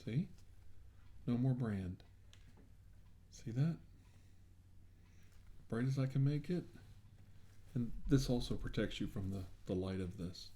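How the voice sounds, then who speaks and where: A soft-spoken man doing an ASMR role-play as a clinician, reassuring the listener in a soothing, unhurried, conversational way. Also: mid-range voice, animated. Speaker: ASMR role-play performer acting as a clinician treating a patient.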